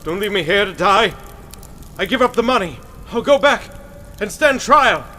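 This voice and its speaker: An actor playing a desperate, cowardly swindler, frantic and pleading, in 1940s radio drama style. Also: male voice, high voice, expressive, moderate pace, sharp tone, big-room sound.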